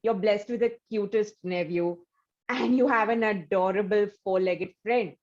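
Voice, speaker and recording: A woman's voice: medium pitch, measured pace, dull audio. A woman host asking long, effusive interview questions, full of fillers like "you know" and "um". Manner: warm, effusive praise with many fillers.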